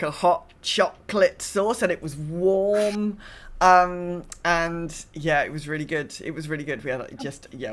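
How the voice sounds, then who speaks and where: A woman with a British accent co-hosting a podcast in relaxed, informal conversation. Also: on the low side. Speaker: podcast co-host. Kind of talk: casual chat.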